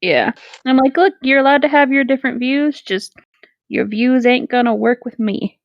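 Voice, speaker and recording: A woman's voice: sits high, steady tone, moderate pace, gravelly, dry recording, lo-fi sound. A woman chatting casually with friends in a candid, conversational way. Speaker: co-host reading horror stories in a group.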